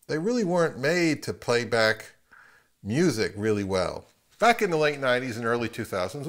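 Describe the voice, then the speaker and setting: A middle-aged man with an American accent, explaining audio gear in a casual, conversational way as a channel host. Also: medium pitch. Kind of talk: casual explanatory product review narration.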